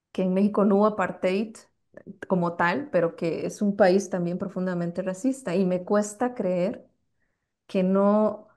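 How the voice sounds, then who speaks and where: Una mujer de con acento costarricense, conferencista que responde con calma a una pregunta del público, buscando las palabras entre muletillas. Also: female voice, medium pitch. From Costa Rica. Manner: thoughtful, searching for words with many fillers. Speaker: guest lecturer. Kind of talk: answering an audience question.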